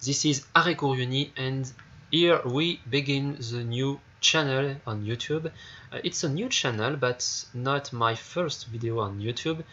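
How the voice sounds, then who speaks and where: French-accented man, halting gaming commentary. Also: mid-range voice, bright, forceful tone, muffled recording. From France. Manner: halting, searching for words, many fillers and repeated starts. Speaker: gaming YouTuber. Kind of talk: casual game review commentary.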